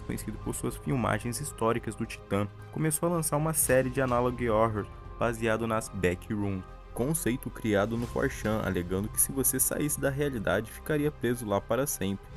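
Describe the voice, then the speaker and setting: A man's voice: medium pitch, normal speed, few pauses. Brazilian man narrating over music. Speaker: video essay narrator. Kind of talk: scripted documentary-style narration.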